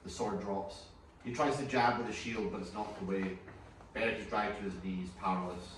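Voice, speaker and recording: Deep voiced guy reading script directions, far from the mic in a noisy echoey room. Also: fairly even intonation, moderate pace. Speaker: narrator reading stage directions. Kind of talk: scripted narration at a table read.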